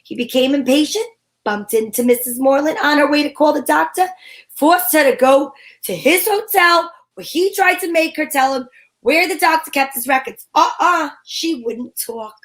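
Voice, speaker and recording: A woman's voice: high-pitched, moderate pace, fairly bright tone. A woman playing a sassy, self-assured female private detective in an old-time radio drama, with wry delivery. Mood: narrating grimly.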